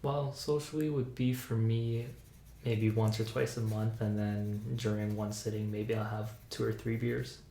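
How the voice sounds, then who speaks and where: A man playing a patient in an ASMR medical exam roleplay, giving casual, brief, low-key answers. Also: flat delivery, unhurried, warm tone. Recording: roomy echo, faint background noise.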